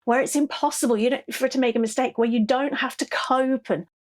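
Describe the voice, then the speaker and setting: A middle-aged woman from southeast England with an English accent, telling stories as a podcast guest in a lively, laughing way. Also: sits high, fast. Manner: animated, laughs often, tags sentences with "yeah?". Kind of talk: conversational storytelling interview.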